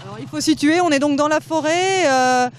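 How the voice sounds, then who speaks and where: A woman's voice: high-pitched, normal speed, a little hiss or hum. A woman reporter interviewing people outdoors in a forest, chatty and hesitant with frequent fillers. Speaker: radio reporter.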